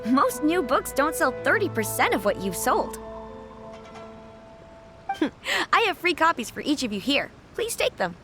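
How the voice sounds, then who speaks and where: An actress playing a polite, upbeat clerk who handles book submissions in a video game. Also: female voice, high-pitched, big pitch swings, unhurried, long pauses, over music. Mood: delighted. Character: cheerful young woman clerk at a publishing event.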